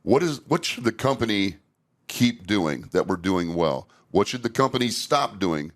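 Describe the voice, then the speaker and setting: Older male, low voice, candid and unpolished, chatting casually as a podcast host and trainer. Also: lively intonation, normal speed.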